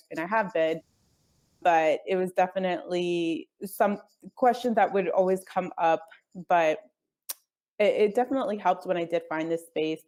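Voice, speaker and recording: A woman who grew up speaking Spanish first, talking in an earnest, easygoing way as a guest on a call. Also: on the low side. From United States. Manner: earnest, easygoing, with soft laughs and hedges like "I guess". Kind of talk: casual interview chat.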